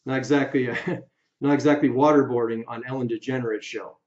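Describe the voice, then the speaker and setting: A man giving opinion commentary for an online video, speaking emphatically and deliberately in short, separated phrases. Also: medium pitch, fairly even intonation, darkish tone, a little hiss or hum. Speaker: YouTube video creator. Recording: roomy echo, slightly dull sound.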